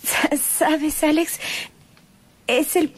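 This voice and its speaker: A woman playing a frail, wounded young woman in love, tender and halting, in a radio melodrama. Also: high voice, fairly even intonation, a little hiss or hum. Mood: tender, weak. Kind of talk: melodramatic radio drama acting.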